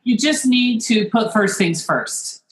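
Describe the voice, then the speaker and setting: A woman in her fifties, a guest author and attorney, talking in a brisk, confident, matter-of-fact way. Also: on the high side, lively intonation, reverberant. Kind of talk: casual interview chat.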